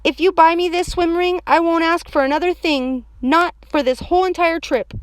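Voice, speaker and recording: Children's storybook role: excitable, stubborn little girl, high and emphatic, close dry recording. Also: moderate pace, dry recording. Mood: pleading. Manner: emphatic, repetitive, childlike phrasing. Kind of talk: children's audiobook character voice.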